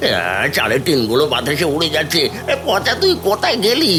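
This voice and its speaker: A man's voice: fairly high pitch, huge pitch swings, fairly quick, over music. A man voicing a greedy, cowardly village thief in a cartoon, whining, panicky and pleading in an exaggerated style. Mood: panicked.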